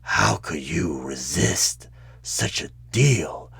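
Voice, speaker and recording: Man playing a whispering, very deep, sly demon. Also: animated, creaky, a bit bright, breathy edge, exaggerated voice. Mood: seductive, coaxing. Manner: slow, drawn-out, insinuating, persuasive. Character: sly evil male demon recruiter, coaxing and tempting. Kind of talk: horror ASMR one-sided audio roleplay.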